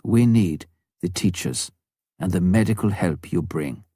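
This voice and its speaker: A man's voice: low voice, unhurried, dark tone, no echo. Guy narrating as an old wizened chief, solemn n earnest, storybook quote, really dry sound.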